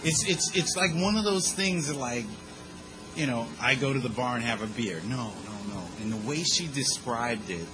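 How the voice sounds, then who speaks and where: A man telling a humorous personal story to a group in a lively, conversational way, with quick asides. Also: mid-range voice, music in the background, low-quality recording. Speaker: speaker sharing his recovery story.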